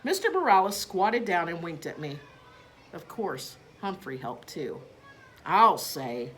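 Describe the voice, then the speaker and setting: A woman reading a children's story aloud as a teacher, in an expressive narrating style. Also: on the low side, a little slow, slight background noise.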